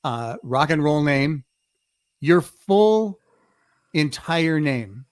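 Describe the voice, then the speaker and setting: A man with a smooth, clear voice, slightly higher than average, works as a podcast host. He chats casually in an interview style, with a close, dry sound. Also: expressive, drawn-out words, no room sound.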